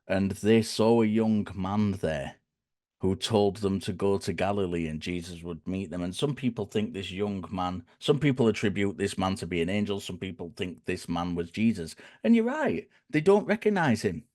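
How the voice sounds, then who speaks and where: A man with a croaky, dry voice, explaining his reasoning in a thoughtful way and often restarting his phrases. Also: sits low, lively intonation, moderate pace, a little mellow, no echo. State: hoarse, croaky. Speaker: guest speaker. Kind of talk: conversational explanation.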